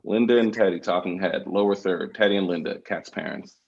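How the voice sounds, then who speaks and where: Very deep-voiced man reading stage directions. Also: a little fast, gravelly, a little mellow, dull audio. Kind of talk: scripted narration at a table read.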